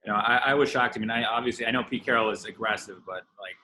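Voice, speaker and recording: A man co-hosting a casual sports interview, halting and repeating words as he frames his questions. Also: lowish voice, noisy background. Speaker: podcast co-host, interviewer.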